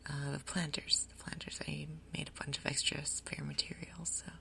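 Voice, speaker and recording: A woman hosting a gaming video in a soft, breathy ASMR whisper, explaining things gently and without hurry. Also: low-pitched, flat delivery, moderate pace. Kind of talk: whispered ASMR game commentary.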